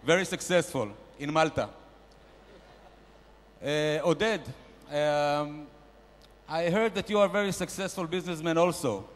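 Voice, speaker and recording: A man's voice: unhurried, lightly acted. A man playing a brash, boastful male investor nicknamed a shark, barking bossy mock-grand business advice at a conference panel. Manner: loud, bossy, mock-grand sales pitching. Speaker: comedian performing at a panel. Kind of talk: stand-up character bit. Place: large conference hall. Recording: reverberant conference hall.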